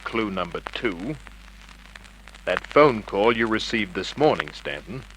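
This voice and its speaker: Hardboiled detective, commanding and wry, bright lowish voice, 1950s radio play with faint hiss. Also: male voice, lively intonation, measured pace, rough recording. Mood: cool, controlled. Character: tough, cool, wisecracking male private investigator. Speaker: lead actor and narrator. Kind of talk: 1950s radio crime drama acting, hardboiled narration. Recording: old radio recording with hiss.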